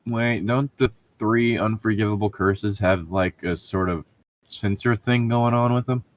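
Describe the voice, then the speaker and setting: A man's voice: on the low side, steady tone, measured pace. A man co-hosting a comedic read-aloud, bantering casually with sarcastic, joking, profane commentary. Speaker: co-host reading and mocking fan fiction.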